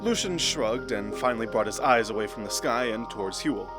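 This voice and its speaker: A man's voice: mid-range voice, lively intonation, a little fast. Male fantasy narrator over prominent music. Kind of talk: scripted fantasy story narration.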